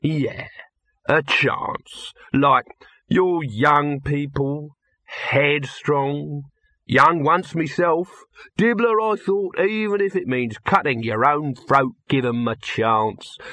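A man plays a hustling showman boss in a storybook. The voice is raised high and sounds bright and forceful. The patter is clipped and wheedling, that of a pushy haggler always selling something, in a close, dry recording. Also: animated, slow, no echo, heavily acted. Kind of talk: audiobook character voice.

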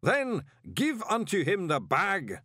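A man voicing a pompous ceremonial lodge master in an audiobook, intoning solemnly in a booming voice. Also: wildly swooping pitch, slow pace, fairly bright tone, no room sound, studio quality, heavily acted. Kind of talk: audiobook character voice.

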